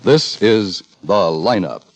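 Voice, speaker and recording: Casting: grave radio police-drama announcer, deep and dramatically varied, vintage broadcast sound. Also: male voice, animated, slow, faint music behind. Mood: grave, dramatic. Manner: dramatic, solemn, portentous. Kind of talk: 1950s radio crime drama narration. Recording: vintage 1950s radio recording.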